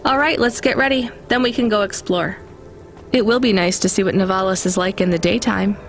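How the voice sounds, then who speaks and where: A woman's voice: mid-range voice, fairly even intonation, a little fast, soft background music, lightly acted. An actress playing a weary, caring young woman who works as a delivery driver in a video game. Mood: calm, fond. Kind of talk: naturalistic video game acting.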